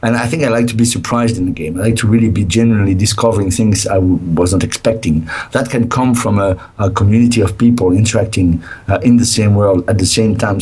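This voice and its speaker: Middle-aged developer guest, low voice, worn out and hesitant. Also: male voice, a little mellow, husky, rough recording. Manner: hesitant, many fillers and restarts. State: tired, nearly lost his voice. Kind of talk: casual interview over a call.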